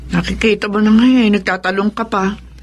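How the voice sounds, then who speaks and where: A woman's voice: medium pitch, steady tone, fairly quick, lightly acted. A woman playing a sentimental middle-aged mother in love again, in a wistful, emotional radio drama style. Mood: eager. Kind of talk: Tagalog radio drama acting.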